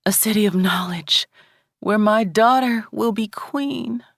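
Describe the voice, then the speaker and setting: A woman voicing a widow sorceress and mother in a storybook reading, grave, deliberate and formal. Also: mid-range voice, creaky, dry recording, clean studio sound, voice pushed up. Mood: hushed. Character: solemn, commanding widow sorceress, a loving mother.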